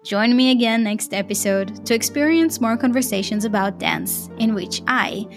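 A woman's voice: fairly high pitch, moderate pace, soft background music. A woman with an Israeli accent hosting a podcast, effusive and encouraging as she thanks her guest and reads her outro. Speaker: podcast host. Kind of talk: warm interview wrap-up and scripted outro.

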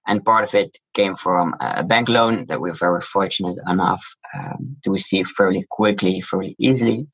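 A man with a Dutch accent, founder of a shoe brand, giving measured, businesslike interview answers. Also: fairly low pitch, fairly bright tone, breathy edge, highs cut off. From Netherlands.

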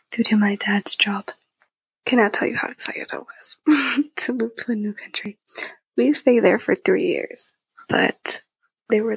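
A nineteen-year-old woman with a husky, breathy voice tells a personal story in a casual, candid, youthful way, repeating phrases for emphasis. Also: fairly high pitch, no room sound, low-quality recording. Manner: youthful conversational, emphatic repetition.

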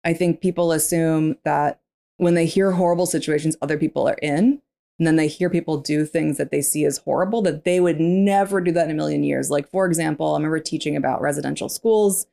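Low, gravelly, mellow-toned woman; candid podcast co-host who laughs easily. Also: brisk. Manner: laughs often, animated and candid. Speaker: former teacher. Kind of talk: casual book discussion.

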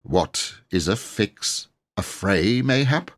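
A man's voice: on the low side, animated, slow. A man voicing a kindly, gallant medieval knight who declaims in pompous, courtly, archaic fashion for a storybook. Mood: courtly, puzzled.

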